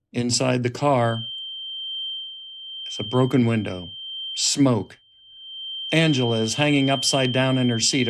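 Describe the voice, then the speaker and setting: Man narrating screenplay action, some noise. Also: medium pitch. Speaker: stage-direction narrator. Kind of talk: scripted dramatic narration of a screenplay. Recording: noisy table-read room recording.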